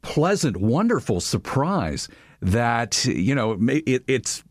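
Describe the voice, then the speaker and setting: Lively-voiced male news anchor. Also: mid-range voice, takes it slowly, no echo, clean studio sound. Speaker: radio news anchor, guest. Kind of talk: interview answers.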